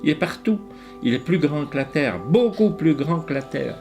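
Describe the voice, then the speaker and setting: A man in his eighties, raised in Ticino, speaks French slowly and haltingly, often pausing and repeating words as he reflects on his life as a painter. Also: a little high, animated, over music. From Ticino, Switzerland. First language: Ticinese dialect. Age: 80s. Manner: slow, hesitant, many pauses and repeated words. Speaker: painter interviewed. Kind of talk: reflective interview answers.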